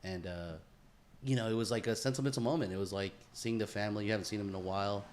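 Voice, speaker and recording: A man's voice: lowish voice, fairly even intonation, fast, a little mellow. A man co-hosting a podcast in casual, slangy conversation, often addressing his friend as bro, dude or man. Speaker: podcast co-host.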